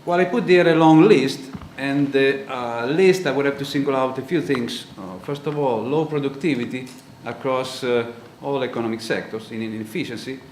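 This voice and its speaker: A man from Italy with an Italian accent, giving a conference talk on a panel, halting with frequent ums and uhs. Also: mid-range voice, a little mellow. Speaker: panel speaker. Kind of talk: conference presentation. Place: lecture auditorium. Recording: roomy hall with faint noise.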